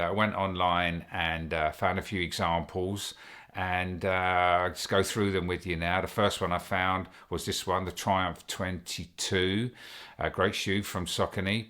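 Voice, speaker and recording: A man's voice: low-pitched, a bit bright. A man with a cold and a slightly nasal voice, hosting a chatty, enthusiastic running shoe review. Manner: chatty, enthusiastic, many fillers. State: has a cold. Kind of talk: casual product review to camera.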